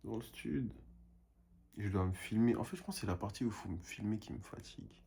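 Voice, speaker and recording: A man's voice: lowish voice, a little fast, vocal fry, mellow, breathy edge. A young man and painter talking in a casual vlog monologue, rambling and self-motivating, dropping English phrases now and then.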